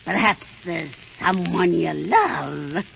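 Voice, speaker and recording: A woman's voice: lowish voice, takes it slowly, full character voice. A woman playing a wicked, scheming queen disguised as a sly, cackling old granny in an old-time radio drama. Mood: wheedling. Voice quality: creaky, cackling. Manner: sly, wheedling, theatrical. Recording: noisy muffled vintage radio recording.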